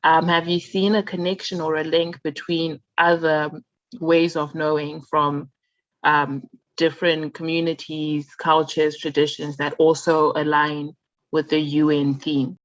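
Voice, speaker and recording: Lady moderating a panel on a video call, polite, lowish voice, muffled dry audio. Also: level tone, measured pace, no room sound. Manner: polite, thanking and asking follow-ups.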